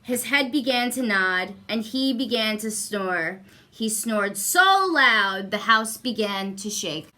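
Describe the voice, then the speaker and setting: A woman reading a children's storybook aloud expressively, with deliberate emphasis and repetition for young listeners. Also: fairly high pitch, lively intonation, bright, forceful tone. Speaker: guest story reader.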